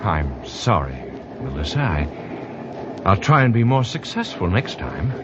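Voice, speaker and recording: An actor playing a bitter, suspicious young husband, nervous and coldly resigned, in an old-time radio drama. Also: male voice, very animated, measured pace. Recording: noisy muffled old radio recording, rumbling background.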